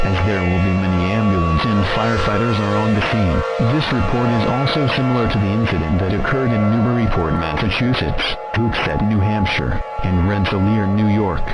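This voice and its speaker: A man acting as an impersonal emergency alert system announcer delivers a formal scripted warning. His voice is low, with loud music in the recording. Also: lightly acted, poor audio.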